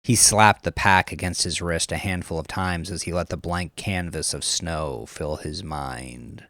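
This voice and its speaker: A man narrating crime fiction in a measured, dramatic storytelling manner. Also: sits low, creaky, fairly bright tone, no echo, studio quality. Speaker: audiobook narrator.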